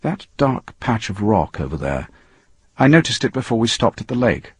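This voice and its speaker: A man's voice: lowish voice, big pitch swings, moderate pace, breathy edge, no room sound, full character voice. A man voicing a confident, impatient male scientist exploring space, with an assured, explanatory delivery in a story reading. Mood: matter-of-fact.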